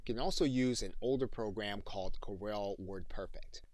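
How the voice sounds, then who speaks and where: A man narrating a software tutorial as an instructor, in an explanatory, instructional manner. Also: lowish voice, normal speed, dry recording.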